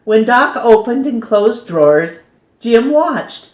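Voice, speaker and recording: A woman reads a children's story aloud as a storytime host, in an expressive, measured way that dramatizes key words. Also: a little high. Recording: roomy, echoing sound.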